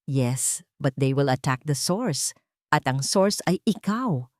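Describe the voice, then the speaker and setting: A woman voicing a calm but sharp female lead lawyer in an audio drama, speaking formally and deliberately with English legal terms mixed in. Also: very deep voice, no echo, clean studio sound, full character voice. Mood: alarmed, critical.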